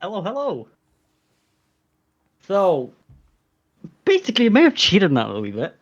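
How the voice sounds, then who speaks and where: A man with a Northern Irish accent chatting quickly and casually on a voice call. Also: sits high, wildly swooping pitch, dull audio. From northern Ireland. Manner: fast talking, chatty. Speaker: community member. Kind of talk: casual voice chat.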